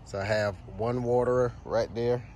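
A man's voice: medium pitch, fairly even intonation, moderate pace, a little mellow, highs cut off, slight background noise. Man explaining his backyard chicken setup. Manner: plain, friendly, step-by-step explaining to viewers. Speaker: homesteading vlogger. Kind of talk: casual walkthrough demonstration. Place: outdoors in a backyard garden. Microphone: handheld phone camera.

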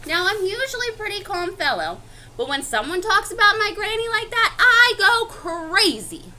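A woman's voice: sits high, sing-song, moderate pace, sharp tone, full character voice, rough recording. A woman voicing an indignant, self-justifying wolf telling his side of a picture-book story in a chatty, confiding, theatrical way. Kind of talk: expressive picture-book read-aloud.

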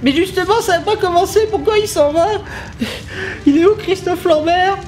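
A man's voice: extremely high pitch, moderate pace, darkish tone. A man voicing a naive, indignant kid who talks to a teddy bear in a comedy sketch. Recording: music behind the voice.

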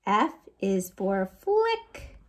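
Storytime host: American woman, 40s, lively and swooping delivery, very unhurried, playful with sounds, speaking in a small room. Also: medium pitch, slight background noise. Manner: animated, sing-song, with playful sound effects. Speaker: children's librarian, storytime host. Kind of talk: read-aloud storytime for young children. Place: small lamp-lit room at home.

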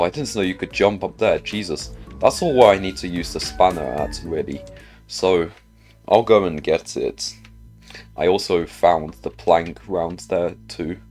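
A man with a low voice and an unhurried pace hosts a gaming video. He comments casually on his play, muttering questions to himself as he goes. Music plays behind him. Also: a little mellow.

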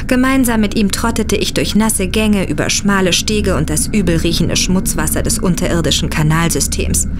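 An actress playing an inquisitive young woman, telling and acting out a dark adventure in an earnest, measured audio drama style. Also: mid-range voice, noisy background, lightly acted. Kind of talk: German audio drama narration and dialogue. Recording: clear background ambience.

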